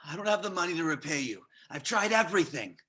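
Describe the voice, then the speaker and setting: A man's voice: on the high side, big pitch swings, quick pace, pushed and bright, exaggerated voice. A man playing a nervous, put-upon lawyer in debt, stammering and pleading in a comedy table read. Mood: flustered, cornered. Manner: stammering, flustered.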